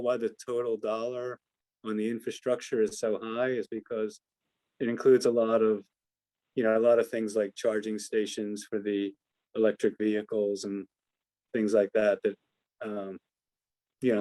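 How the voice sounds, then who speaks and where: A man's voice: medium pitch, darkish tone, dry recording. An American man giving informal analysis as an investment adviser answering questions on a call, hesitant and hedging as he thinks aloud.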